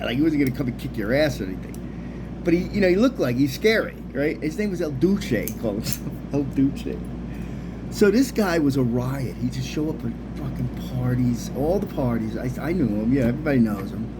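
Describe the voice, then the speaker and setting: Middle-aged American man rambling over background noise. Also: mid-range voice. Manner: animated slang-heavy talk with frequent swearing. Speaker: storyteller, video host. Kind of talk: casual rambling anecdote. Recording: clearly noisy background hum.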